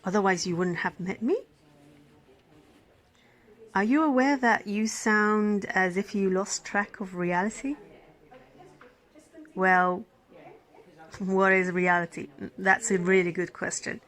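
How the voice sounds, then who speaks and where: A woman's voice: medium pitch, steady tone, slow pace, long pauses, a bit bright. Tender, soothing woman doctor acted for ASMR roleplay. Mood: shy, affectionate. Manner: tender, soothing, flustered, dropping into breathy whispers. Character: caring, smitten female emergency physician tending a crash patient. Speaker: roleplay voice performer. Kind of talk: ASMR roleplay acting, intimate one-sided dialogue.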